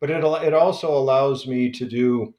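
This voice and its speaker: A man's voice: mid-range voice, normal speed, warm tone, dull audio. A man, a guest historian, answering an interview question in a rambling, casual way that corrects itself mid-sentence. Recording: roomy echo.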